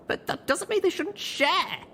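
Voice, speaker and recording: Fiery high-pitched young mare heroine. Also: male voice, very animated, a little slow, pushed and bright, slight background noise, exaggerated voice. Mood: indignant.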